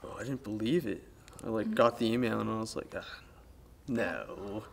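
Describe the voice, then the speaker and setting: A man's voice: lowish voice, normal speed, gravelly. A teenage young man from Colorado chatting casually and a bit hesitantly in an interview. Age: teens. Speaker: student playwright.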